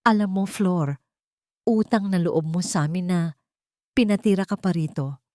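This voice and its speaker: A woman's voice: lowish voice, moderate pace, a little mellow, dry recording, clean studio sound, lightly acted. Woman voicing a sneering, haughty rich young woman. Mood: contemptuous. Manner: sneering, taunting. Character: haughty, sarcastic wealthy young woman, cruel in-law cousin.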